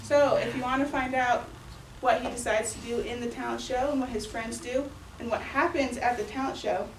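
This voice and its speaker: A woman's voice: a little high, fairly even intonation, normal speed, noisy background. A young woman giving a booktalk to a class of young children, reading story passages aloud and acting out the quoted lines. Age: young adult. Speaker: student librarian giving a booktalk. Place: elementary school classroom. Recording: echoey classroom from far off.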